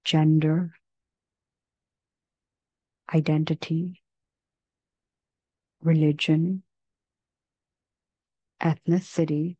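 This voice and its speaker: A woman with a low, mellow voice guides a meditation. Her delivery is gentle and measured, very slow, with long pauses between phrases. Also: flat delivery, dry recording, lo-fi sound.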